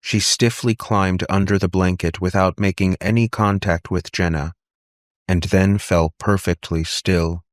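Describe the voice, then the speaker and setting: A man's voice: sits low, fairly even intonation, a little slow, no room sound, studio quality. A man reading scripted fiction as an audiobook narrator.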